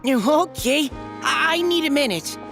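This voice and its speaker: A woman's voice: sits high, lively intonation, takes it slowly, creaky, music in the background, full character voice. A woman voicing an earnest, slightly flustered young knight courier in a video game, polite and hesitant. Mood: flustered, eager. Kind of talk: anime-style video game voice acting.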